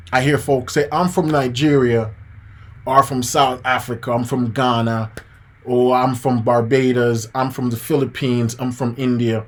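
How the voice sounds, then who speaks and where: A man from Jamaica in his thirties, a piano teacher live-streaming a motivational lesson, talking casually and repeating his points. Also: mid-range voice. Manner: casual and preachy, addresses viewers as guys and man, repeats key points.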